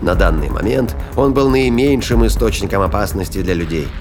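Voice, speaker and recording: Over a music bed, a man with a fairly low voice narrates. The delivery is scripted dramatic narration, as for an audio play. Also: expressive, a little fast. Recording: music behind the voice.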